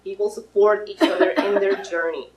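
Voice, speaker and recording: A woman's voice: medium pitch, fairly even intonation, normal speed, darkish tone. A woman in her thirties from Russia, giving a friendly, informal presentation of her fitness business to an audience, with restarts and fillers. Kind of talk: pitch presentation to a live audience.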